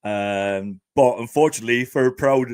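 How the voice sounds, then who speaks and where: A man's voice: medium pitch, moderate pace, a little mellow, clean tone. A man with a Geordie accent from northeast England, talking earnestly as a guest with many fillers and restarts. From Scotland. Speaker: podcast host guest. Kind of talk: casual interview talk.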